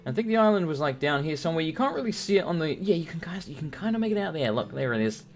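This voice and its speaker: A British man talking over his game with a lively, swooping voice that jumps up and down as he jokes. He swears freely and drifts into long, rambling anecdotes, and a faint bed of game music sits underneath him. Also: a little high, fast. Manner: profane, joking, animated storytelling. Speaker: gaming video host. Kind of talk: let's play commentary, rambling anecdotes.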